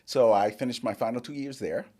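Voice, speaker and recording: An older man who grew up in the Bahamas, a guest in a casual interview, telling his life story with lively quoted dialogue. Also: medium pitch, a little fast. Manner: storytelling, animated quoting of others.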